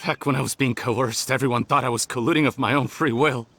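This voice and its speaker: A man's voice: medium pitch, steady tone, quick pace, a bit bright, dry recording. An actor playing a bitter, defiant male criminal who speaks in a scornful, sarcastic way. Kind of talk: video game voice acting.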